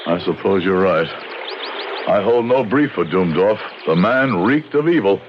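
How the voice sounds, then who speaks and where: An actor playing a dignified frontier judge, formal, measured and earnest, in the emphatic style of old-time radio drama. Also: male voice, low-pitched, animated, noisy background. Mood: grave, formal. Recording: muffled radio recording with music behind.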